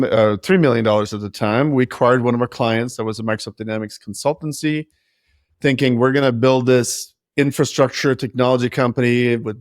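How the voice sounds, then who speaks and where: A man's voice: medium pitch, dry recording, studio quality. A man in his forties who grew up in Norway, talking candidly and with self-deprecating humor as a guest in an interview. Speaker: guest, business founder. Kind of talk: casual interview.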